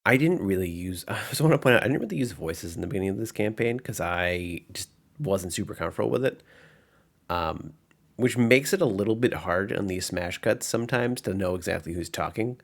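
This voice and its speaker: A man's voice: low voice, lively intonation, brisk, gravelly. A man working as game master, narrating and commenting on tabletop roleplay in an informal, self-reflective way.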